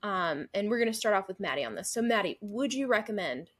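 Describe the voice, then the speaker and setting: A woman's voice: medium pitch, lively intonation, fairly quick. A young woman co-hosting a book podcast, recapping and reviewing in a casual, chatty way with lots of fillers. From Midwestern United States. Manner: chatty, frequent fillers and laughs. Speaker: podcast co-host.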